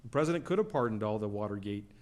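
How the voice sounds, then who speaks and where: A man's voice: fairly low pitch, lively intonation, fast, a little mellow. A middle-aged man lecturing on law answers questions from the floor in a lecture hall. He talks in a loose, chatty way and keeps checking in with the audience as he goes. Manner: conversational, frequent "right?" and "you know".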